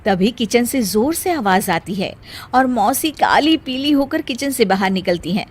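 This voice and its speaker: A woman's voice: a little high, animated, measured pace, slightly rough. A woman narrating a scripted moral story as its storyteller.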